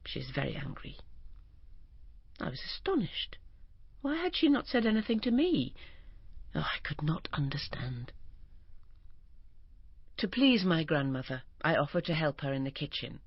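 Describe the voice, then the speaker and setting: Woman, memoir narrator, expressive, soft whispers, muffled recording, dry. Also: deep voice, moderate pace, pauses often, dry recording. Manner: expressive, turning breathy and whispered at moments of feeling. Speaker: audiobook narrator. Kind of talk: first-person memoir reading.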